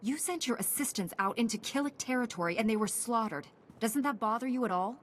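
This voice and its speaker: A woman's voice: fairly high pitch, fairly quick, a little hiss or hum, close to an everyday voice. A woman playing a principled young Jedi knight in a video game, speaking in a composed, formal, firm manner. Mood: questioning. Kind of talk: scripted video game dialogue.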